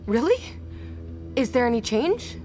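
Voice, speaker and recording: A woman's voice: on the high side, sing-song, measured pace, darkish tone, faint music behind. An actress playing a worried, challenging woman in an audio drama, questioning and insistent.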